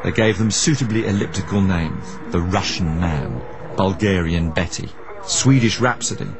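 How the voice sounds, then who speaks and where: A man's voice: low voice, normal speed, music in the background. A man presenting a radio documentary, narrating and asking questions in a dramatic storytelling manner.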